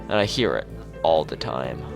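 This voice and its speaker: A man's voice: extremely low pitch, very animated, moderate pace, vocal fry, faint music behind, voice pushed down, heavily acted. A man voicing a reserved, wry teenage schoolboy in a visual novel, polite and slightly defensive.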